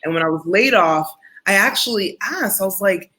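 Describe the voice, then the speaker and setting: A middle-aged woman with a medium-pitched voice talks casually about her own life. She is candid and self-deprecating, and she re-enacts her inner thoughts and bits of dialogue as she tells it. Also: expressive, normal speed, sharp tone, slightly rough. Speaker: community founder and host. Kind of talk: personal storytelling in casual conversation.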